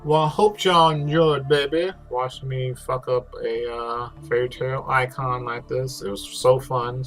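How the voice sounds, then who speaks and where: A man's voice: medium pitch, fairly bright tone. A man narrating a craft tutorial in a casual, rambling way, swearing freely. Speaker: host, prop maker. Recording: music behind the voice.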